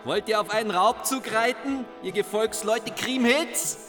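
A bold warrior minstrel taunts armed enemies in a high, challenging voice. Music sits underneath the scene. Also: male voice, measured pace. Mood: taunting. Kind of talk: German radio play acting.

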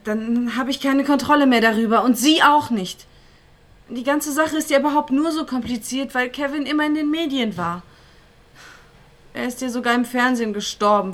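A woman's voice: on the high side, moderate pace, a bit bright, natural voice. An actress playing a guarded, defiant young woman in a naturalistic crime drama, speaking with emotion. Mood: guarded, hesitant. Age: young adult.

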